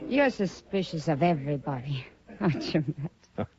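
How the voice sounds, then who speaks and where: A woman's voice: low voice, animated, moderate pace, a little mellow. An actress playing a teasing, wry saloon woman in a 1950s radio western. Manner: teasing, playful. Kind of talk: 1950s radio drama acting. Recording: muffled old radio broadcast.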